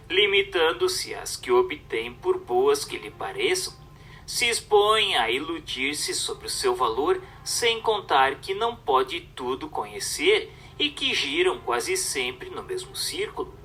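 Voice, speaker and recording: Expressive, bright-voiced man narrating. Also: a little high, recorded from a distance. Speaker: narrator reading a book aloud. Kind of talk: scripted narration of a spiritist text.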